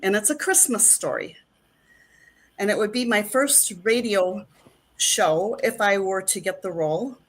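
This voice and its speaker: Middle-aged woman, slightly low pitch, chatty, rambling, casual interview guest. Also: fairly bright tone. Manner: chatty, rambling, many fillers. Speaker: actress and guest.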